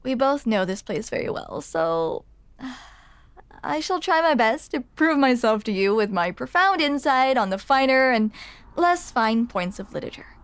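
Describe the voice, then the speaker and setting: Casting: actress as young female barista, grumpy yet shyly smitten, higher-pitched, hesitant, self-deprecating, close dry ASMR roleplay. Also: sing-song, unhurried, smooth, no echo. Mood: relieved, grateful. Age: young adult. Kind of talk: ASMR roleplay, intimate scripted monologue to the listener.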